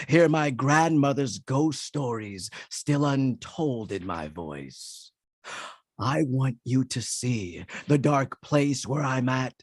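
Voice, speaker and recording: A man's voice: on the high side, no echo, clean studio sound. A man performing spoken word poetry as a poet, with an emphatic, rhythmic and dramatic delivery.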